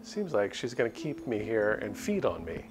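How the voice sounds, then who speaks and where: Low-voiced man narrating over music. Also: big pitch swings, normal speed. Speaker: streamer reading a visual novel aloud. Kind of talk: dramatic story narration with casual commentary.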